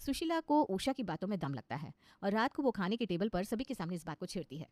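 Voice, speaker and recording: A woman's voice: medium pitch, sing-song, fast, darkish tone, dry recording. A woman reading scripted narration for a moral story.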